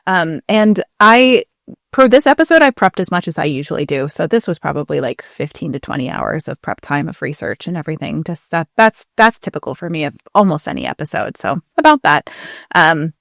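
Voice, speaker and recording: Woman, low pitch, dry close sound, podcast co-host, casual chat, giggly, animated storyteller. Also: a little fast, creaky, no room sound. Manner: giggly, chuckles often while talking.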